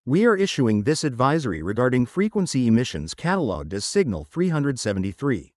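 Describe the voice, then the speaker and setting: Man playing a grave official broadcast announcer, analog horror warning, formal, detached, measured, mid-pitched, very dry recording. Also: very animated, no echo, natural voice. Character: solemn male emergency broadcast announcer issuing eerie official warnings. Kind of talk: scripted analog horror public advisory narration.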